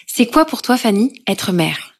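A French woman who hosts a podcast, reading a scripted intro and then asking questions gently with some hesitation. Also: on the high side, big pitch swings, measured pace, slight background noise. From France. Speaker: podcast host and journalist.

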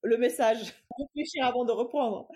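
A woman's voice: on the high side, normal speed, a little mellow. A woman in her late thirties, from Germany with German as her first language, speaking French in a casual interview with frequent hesitations. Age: 30s. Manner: hesitant, many fillers and restarts, light laughs. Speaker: guest, entrepreneur.

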